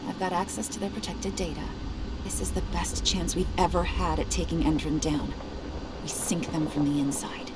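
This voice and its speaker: A woman's voice: lowish voice, flat delivery, normal speed, close to an everyday voice. A young woman playing a wounded, defiant activist and estranged daughter in a video game cutscene, firm and intense. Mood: resolute. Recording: loud ambient noise behind voice.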